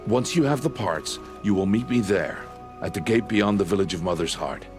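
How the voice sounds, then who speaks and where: A man acting as a stern older hunter and guardian in a video game, his voice very low. He speaks in terse, formal, measured phrases, solemn throughout, with music and rain behind him. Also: animated, lightly acted. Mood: firm, guarded.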